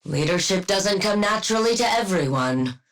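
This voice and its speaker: A woman's voice: mid-range voice, animated, normal speed, natural voice. An actress playing a cool, sardonic female scientist supervillain in a video game, with precise diction and a clinical, condescending manner. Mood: smug, deadpan. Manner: clinical, condescending, dryly witty. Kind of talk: video game combat barks.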